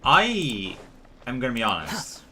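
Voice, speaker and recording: A man's voice: medium pitch, huge pitch swings, a little slow, sharp tone, slight background noise. A man giving casual, rambling gameplay commentary as a livestream host, chatting to viewers as he goes. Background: lo-fi music and game sound.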